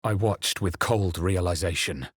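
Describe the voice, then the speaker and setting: A wry male adventurer from a fantasy video game. His voice sits on the low side and has a rough, husky grain. He speaks in a measured, dramatic way, brooding and dry, in a very dead studio sound. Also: dry recording. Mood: brooding, hushed. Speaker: player character voice in a fantasy role-playing video game. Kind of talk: video game voice lines, exploration remarks, spell chants and inward narration.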